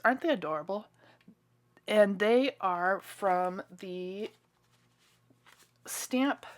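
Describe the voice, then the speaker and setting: A woman in a casual crafting tutorial voice-over, chatty and enthusiastic, a little hoarse and stuffy, clearing her throat now and then. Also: mid-range voice. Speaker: card-making crafter.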